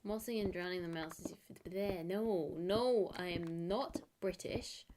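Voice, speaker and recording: A woman's voice: lowish voice, unhurried. A woman live streaming from home, chatting casually and rambling with many fillers. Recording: noisy home stream audio.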